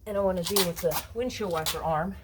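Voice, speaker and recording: A woman's voice: fairly low pitch, quick pace, noisy background. A woman casually narrating her car detailing work outdoors, chatty and rambling with lots of fillers. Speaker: car DIY vlogger. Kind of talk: casual narration while working.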